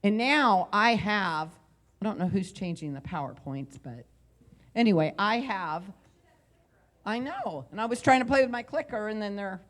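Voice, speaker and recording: Casting: woman in her forties, coach on a conference stage with a handheld mic, loud and chatty, repeats herself. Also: medium pitch, expressive, normal speed. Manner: loud, conversational, with repeated phrases. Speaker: motivational speaker and coach. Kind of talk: live motivational talk. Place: hotel conference room stage. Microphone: handheld microphone.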